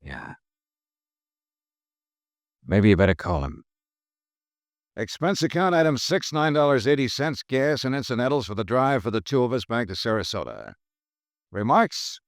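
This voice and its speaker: A hard-boiled radio insurance detective, wry, lively pitch, dry sound. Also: male voice, moderate pace, pauses often, no room sound. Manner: tough, wry, clipped. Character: wisecracking male insurance investigator. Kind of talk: 1950s radio detective drama.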